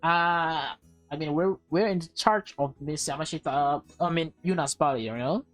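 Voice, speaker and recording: Low-voiced woman reading aloud casually. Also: moderate pace, no room sound, faint music behind. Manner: chatty, addresses viewers directly. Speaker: let's play host reading a visual novel.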